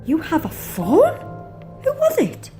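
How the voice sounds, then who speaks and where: A woman playing a bold, gun-toting travelling companion in an audio drama, brisk, pert and questioning. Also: extremely high pitch, wildly swooping pitch, music in the background, full character voice. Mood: curious.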